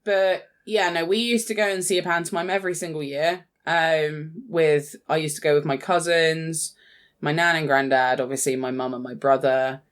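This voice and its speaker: A woman's voice: sits low, fairly even intonation, normal speed, clear, resonant tone. A woman, talking casually as a podcast co-host. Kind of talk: casual chat.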